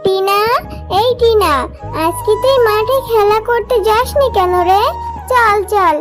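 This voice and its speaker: A woman's voice: very high-pitched, slow pace. A woman voicing a sweet, eager young fox girl in a children's cartoon, with a childlike, wheedling manner. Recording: music playing behind the voice. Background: children's music.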